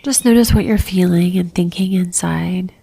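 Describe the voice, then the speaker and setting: A woman leading a guided religious meditation in a soft, near-whispered voice, gentle and calm, leaving long pauses. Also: on the low side, gravelly, husky.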